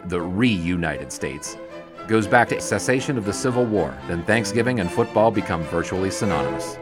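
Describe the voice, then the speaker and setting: A wry sportswriter recalling the 1920s in an audio drama. His voice is fairly low and his storytelling measured, over prominent music. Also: lively intonation. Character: wry older sportswriter narrating memories of the 1920s. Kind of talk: scripted audio drama narration.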